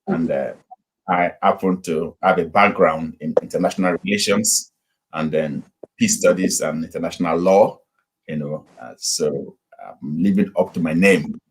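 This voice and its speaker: A man's voice: sits low, a little hiss or hum, poor audio. A middle-aged man from Nigeria, a pastor, telling his story unhurriedly over a video call with many fillers. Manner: unhurried, with frequent fillers and restarts. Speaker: pastor and guest. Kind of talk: casual interview storytelling.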